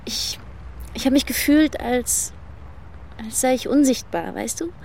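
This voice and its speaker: High-voiced, tentative teenage girl, acted by a woman. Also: animated, a little slow, creaky, darkish tone, slight background noise, full character voice. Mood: tentative, curious. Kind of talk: audio drama dialogue.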